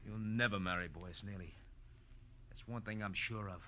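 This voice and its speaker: A bright, tense-voiced scheming American blackmailer from an old radio thriller. He is smug and condescending, on a faintly noisy vintage recording. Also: fairly low pitch, moderate pace. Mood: scornful threatening. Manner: smooth-talking, smug, condescending. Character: smug, scheming male blackmailer, slick and menacing. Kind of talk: 1940s radio crime drama acting.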